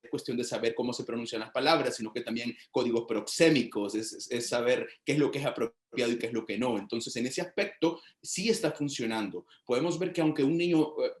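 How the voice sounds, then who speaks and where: A man presenting research findings in an academic discussion, speaking in a measured, explanatory way with frequent restarts. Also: medium pitch. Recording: roomy echo.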